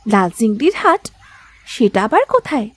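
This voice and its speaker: Sharp-tongued cartoon village wife, high and swooping, as a woman voices her. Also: big pitch swings, moderate pace, slightly rough. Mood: suspicious. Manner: suspicious, scolding questions. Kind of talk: Bengali cartoon voice acting.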